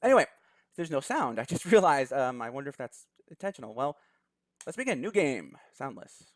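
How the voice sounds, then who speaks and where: A man's voice: medium pitch, sing-song, fairly quick, dry recording. A young man hosting a let's play, chatting casually and reading a visual novel's story text aloud. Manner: chatty, laughs easily, thinks aloud.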